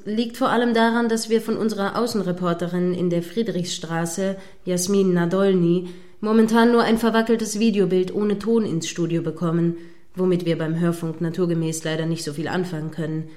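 Woman playing strict radio news anchor lady, radio drama, formal and pointed, kinda irritated and sceptical, mid pitch. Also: moderate pace, a little mellow, lightly acted. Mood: sceptical, reproving. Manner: stern, pointed, formally articulate. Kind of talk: radio play news broadcast dialogue.

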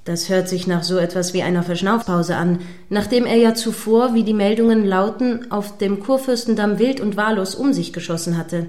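Woman acting as radio news anchor, formal n measured, keeps probing, serious live broadcast style, mid pitch. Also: fairly even intonation, darkish tone, lightly acted. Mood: earnest, probing. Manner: formal, measured, insistent questioning.

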